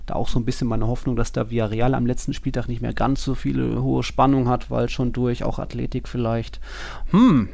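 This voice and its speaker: A man's voice: medium pitch, little pitch movement, fairly quick, vocal fry, mellow, dry recording. A man co-hosting a football podcast in casual discussion, speaking colloquially with exclamatory turns of phrase.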